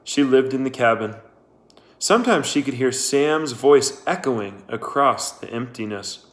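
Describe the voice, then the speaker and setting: A man's voice: medium pitch, expressive, a little slow. A man reading a novel aloud as its narrator. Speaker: audiobook-style narrator.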